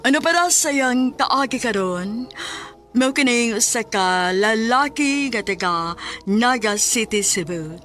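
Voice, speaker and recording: A woman's voice: on the high side, expressive, slow, over music. A woman delivering a scripted, dramatic introduction as the announcer of a radio drama.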